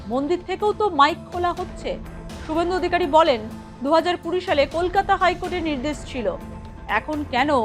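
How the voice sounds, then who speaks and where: A woman's voice: very high-pitched, a bit bright. A woman reading scripted news narration as a reporter in voice-over.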